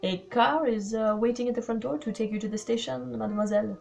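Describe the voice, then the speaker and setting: Smug elderly Belgian sleuth, read by a woman, courteous, French phrases, a bit halting. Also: a little high, steady tone, vocal fry, a little mellow, faint music behind, voice pushed up. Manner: polite, sprinkles French words, halting with fillers. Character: fussy elderly male Belgian detective, smug.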